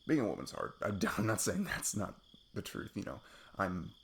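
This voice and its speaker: Casting: male actor as shy, loving male best friend for ASMR roleplay, low creaky voice, halting self-deprecating delivery, crickets faintly behind. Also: very animated, slight background noise, full character voice. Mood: earnest, rambling. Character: sweet, nervous male best friend confessing his love. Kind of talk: intimate ASMR roleplay monologue.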